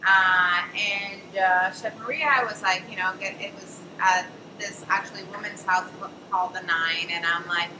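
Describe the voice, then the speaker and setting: A woman's voice: medium pitch, steady tone, normal speed, few pauses. A woman hosting a panel conversation, telling a story casually with lots of fillers. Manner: chatty, many fillers and "I'm like". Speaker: host and café founder. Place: café event space. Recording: echoey room, distant mic, noisy.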